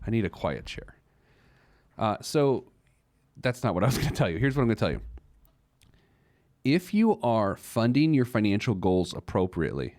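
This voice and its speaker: A man's voice: on the low side, darkish tone, no echo, clean studio sound. An American man hosting a personal finance show in a conversational, emphatic way, acting out objections and answering them.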